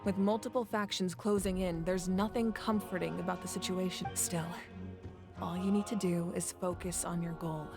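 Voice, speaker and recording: An actress playing a composed, candid and reassuring ruler in a video game. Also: female voice, on the low side, fairly even intonation, normal speed, vocal fry, music in the background. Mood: frank, composed. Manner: assured, candid. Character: composed, reassuring woman ruler carrying heavy burdens. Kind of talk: English game voice acting.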